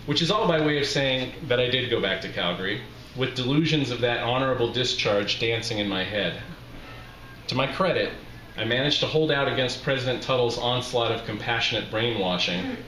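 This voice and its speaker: A middle-aged man reading a humorous personal story aloud at a live event, with a wry, deliberate storytelling delivery. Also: mid-range voice, a bit bright. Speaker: author reading his memoir aloud. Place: small bar with brick walls, live reading. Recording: roomy live bar recording, faint background noise.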